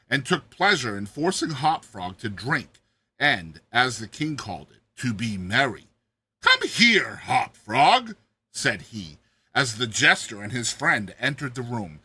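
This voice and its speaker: A man's voice: medium pitch, bright, forceful tone, breathy edge. A man narrating a classic tale as a dramatized reading, theatrical and emphatic.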